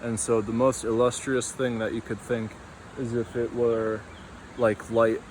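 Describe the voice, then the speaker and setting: A man's voice: mid-range voice, little pitch movement, a little slow, a little mellow, slight background noise. A young man rambling through a casual, tangential monologue full of fillers, recorded outdoors. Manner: meandering, tangential, many fillers. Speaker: vlogger.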